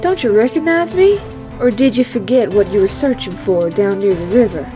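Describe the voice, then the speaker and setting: A woman's voice: medium pitch, lively intonation, normal speed, over music, natural voice. A woman playing a tough, vengeful superheroine with a sassy, menacing drawl in an audio drama. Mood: taunting, menacing.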